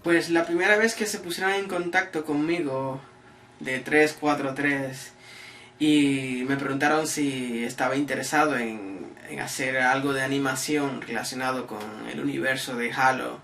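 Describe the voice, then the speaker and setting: A somewhat distant recording with faint noise carries a man. His voice is bright and a bit creaky, and he answers interview questions reflectively, pausing mid-phrase. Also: mid-range voice, fairly even intonation. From Spain. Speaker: artist, animator. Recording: distant mic, noisy room ambience.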